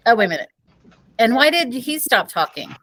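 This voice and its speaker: A stern school principal in a children's audiobook, voiced by a woman reader. She speaks curtly, with lively swings in pitch, and sounds close and dry. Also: brisk, dry recording. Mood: stern, questioning.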